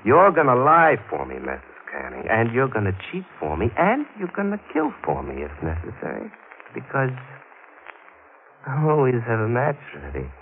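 An actor playing a menacing, taunting fugitive gunman in 1940s radio thriller style, with mock-courteous threats and drawn-out pauses. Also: medium pitch, gravelly, exaggerated voice. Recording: noisy, tinny old-time radio recording.

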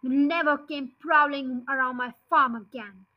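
A woman voicing a fat, furious male farmer in a storybook, shouting and impatient. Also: high-pitched, moderate pace, muffled recording, natural voice. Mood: threatening.

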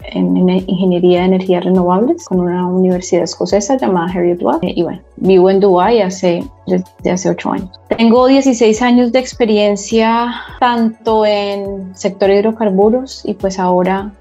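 Guest expert, Colombian woman in her forties with a Bogotá accent, mellow tone, slightly muffled lo-fi audio. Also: medium pitch, steady tone, faint music behind. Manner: measured, explanatory, with small restarts. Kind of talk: podcast interview.

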